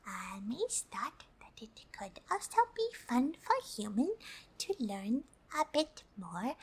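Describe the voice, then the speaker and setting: A friendly, excitable alien played by a woman whispers through an ASMR roleplay. The voice is breathy and fairly high, moving extremely slowly. The phrasing is oddly formal and hesitant, like an eager visitor carefully addressing humans. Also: full character voice. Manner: hesitant, formal, third-person self-reference with frequent uh.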